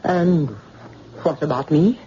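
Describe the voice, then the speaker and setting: Doctor, female,, tense measured replies, dark mellow tone, dull old radio sound. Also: low-pitched. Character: woman doctor held at gunpoint, quick-witted. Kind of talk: 1940s radio acting. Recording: dull old radio recording, faint hiss.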